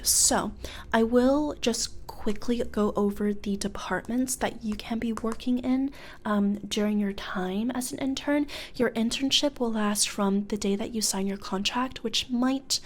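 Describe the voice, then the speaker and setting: A woman playing a blithe corporate recruiter for hell in a soft-spoken ASMR roleplay, hesitant and conversational, often slipping into whispers, close on the mic. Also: mid-range voice. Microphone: close studio condenser microphone.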